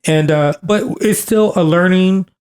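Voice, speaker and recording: Earnest man in his thirties, fairly high voice. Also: measured pace, darkish tone. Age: 30s. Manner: earnest, repeats himself for emphasis. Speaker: podcast co-host. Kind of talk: casual podcast conversation.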